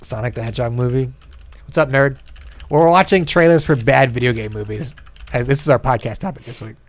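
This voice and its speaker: A man co-hosting a podcast in casual reaction commentary, animated, incredulous and freely swearing. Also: medium pitch, a little fast. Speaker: podcast co-host. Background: movie trailer audio and music.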